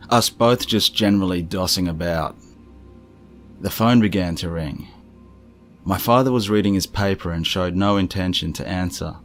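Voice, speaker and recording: Deep-voiced man narrating strange stories. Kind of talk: scripted first-person storytelling narration.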